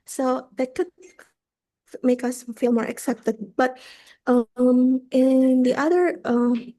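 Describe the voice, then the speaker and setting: Brief: high-pitched woman moderator on a video call, warm soft tone, halting and filler-heavy. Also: fairly even intonation. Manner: hesitant, many fillers. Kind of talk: online forum moderating.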